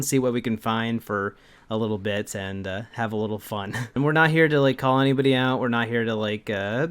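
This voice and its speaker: A man's voice: mid-range voice, clean tone. A young adult man co-hosting a video in casual, informal chat, with some loose swearing. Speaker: video co-host.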